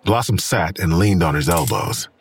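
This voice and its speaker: A man's voice: low voice, lively intonation, moderate pace, a little hiss or hum. A man reading scripted third-person narration for an audio drama.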